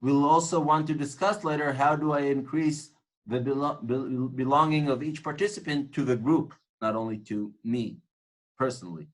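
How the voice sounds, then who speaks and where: A man's voice: mid-range voice, moderate pace, rough recording. Man lecturing, earnest and reflective. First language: Hebrew. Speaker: tour guide instructor. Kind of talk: informal lecture on guiding.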